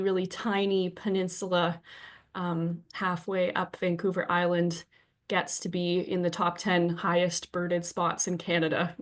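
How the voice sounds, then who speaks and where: Young woman from victoria bc, kinda sick and sniffly, friendly remote talk, lots of ums. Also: on the low side. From Victoria, British Columbia. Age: young adult. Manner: friendly, many ums and restarts. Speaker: guest presenter. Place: home, presenting remotely.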